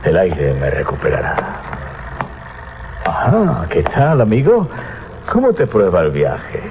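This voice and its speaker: Un actor de radioteatro de terror interpreta a un capitán de barco codicioso, burlón y teatral. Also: male voice, deep voice, wildly swooping pitch, slow, slight background noise, heavily acted. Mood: weary. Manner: theatrical, talks aloud to himself. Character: gruff mocking sea captain, greedy, sliding into terror. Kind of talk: radio horror drama acting. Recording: dull 1980s radio recording.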